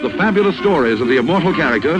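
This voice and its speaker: A man's voice: fairly high pitch, lively intonation, rapid-fire. A man announcing a 1950s radio drama in a grand, theatrical manner. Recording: dull old radio broadcast recording. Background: loud music.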